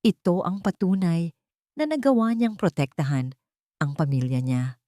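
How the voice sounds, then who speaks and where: A woman's voice: fairly low pitch, big pitch swings, moderate pace, warm tone, no room sound, studio quality. A woman narrating a scripted dramatic love story as an audiobook narrator.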